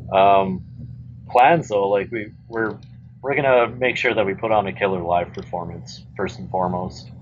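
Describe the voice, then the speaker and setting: A man in a band chats in a relaxed, rambling way, swearing now and then. His voice is fairly low, with a dull lo-fi sound and a faint hiss behind it. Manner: laid-back, rambling, swears casually. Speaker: band member guest. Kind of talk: casual chat.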